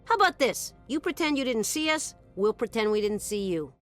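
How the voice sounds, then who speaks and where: High-voiced, brash security guard. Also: female voice, very animated, a little fast, faint music behind. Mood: defensive, bargaining. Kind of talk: scripted video game dialogue.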